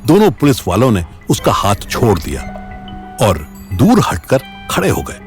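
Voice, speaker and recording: A man's voice: medium pitch, wildly swooping pitch, a little slow. A man narrating a scripted audio drama in a dramatic storytelling style. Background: faint background music.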